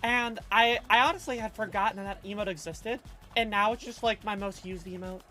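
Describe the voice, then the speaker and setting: A woman's voice: medium pitch, a little fast, bright, forceful tone. A woman livestreamer chatting casually with her viewers, reading chat aloud in a loose, rambling, slangy way. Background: faint background music.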